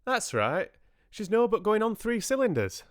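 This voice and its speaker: A man's voice: sits high, sing-song, moderate pace, no room sound, studio quality, voice pushed up. A man voicing a plump, eager Yorkshire farmer, confident and blunt, in an audiobook. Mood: hearty, matey. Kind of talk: audiobook character dialogue.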